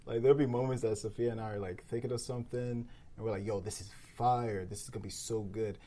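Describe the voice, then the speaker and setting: A podcast co-host chatting casually at a round table, with an animated, emphatic, slangy way of talking. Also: medium pitch, quick pace. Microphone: podcast microphone. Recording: faint room noise.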